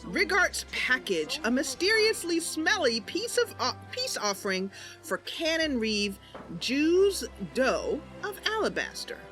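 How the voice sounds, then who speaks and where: A woman's voice: sits high, very animated, takes it slowly, fairly bright tone, faint music behind. A woman streamer casually narrating a game roleplay.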